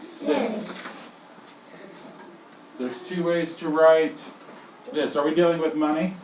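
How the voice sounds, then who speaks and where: A male elementary school teacher leading his class in call-and-response, swinging his voice high and low as he drills them. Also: slow, darkish tone. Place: classroom, indoors. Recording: echoey classroom from far off, with noise.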